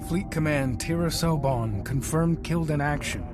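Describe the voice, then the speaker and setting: Male actor as sci-fi game intel officer, calm, procedural, slightly high voice, loud music behind. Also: close to an everyday voice. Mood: grave, controlled. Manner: formal, measured, procedural reporting. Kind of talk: scripted sci-fi game cutscene acting.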